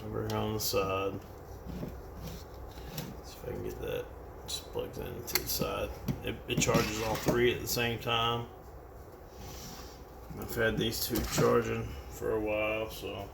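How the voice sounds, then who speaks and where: A man's voice: lowish voice, lively intonation, unhurried, creaky, long pauses. An American man giving a casual hands-on product demonstration in a plain-spoken, loosely improvised way. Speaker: product reviewer. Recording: roomy, distant mic with background noise.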